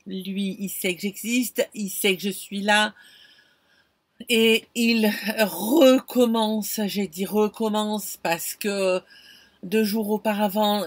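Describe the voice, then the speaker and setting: A middle-aged woman vlogging from inside a camper van, telling an anecdote in an animated, expressive way and talking straight to the viewer. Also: mid-range voice, breathy edge, no room sound. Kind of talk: casual storytelling to camera. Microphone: camera close in front.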